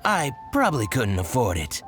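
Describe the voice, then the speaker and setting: An actor playing a sly, affable small-time merchant in a video game, theatrical, teasing and polite. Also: male voice, mid-range voice, huge pitch swings, normal speed. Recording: music playing behind.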